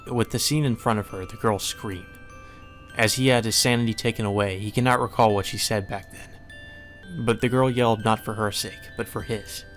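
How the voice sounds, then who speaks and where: A man reading a visual novel aloud as dramatic, solemn and measured narration. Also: lowish voice, fairly bright tone. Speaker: narrator, let's play reader. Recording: music behind the voice.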